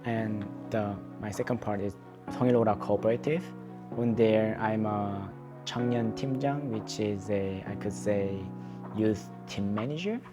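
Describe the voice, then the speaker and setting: A young man from North Korea with a Korean accent, speaking English as a second language in an earnest, halting way, often restarting his sentences. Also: lowish voice, over music. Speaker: advocacy speaker. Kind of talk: interview testimony.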